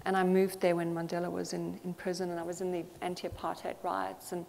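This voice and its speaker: A woman's voice: lowish voice, completely flat delivery, a little fast, creaky, a little mellow. A woman from Zambia giving an earnest, thoughtful conference talk, with frequent fillers. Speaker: conference speaker. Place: conference hall. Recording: reverberant hall with faint noise.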